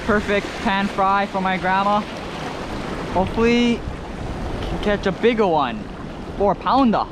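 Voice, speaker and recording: A young man from Hawaii talking to viewers as he fishes from a reef, excitable and casual, recorded on a head-mounted action camera outdoors. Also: sits high, a little slow. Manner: excitable, talks to viewers while reeling. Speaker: fishing vlogger. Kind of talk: casual narration while fishing. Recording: loud surf and wind noise. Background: crashing waves.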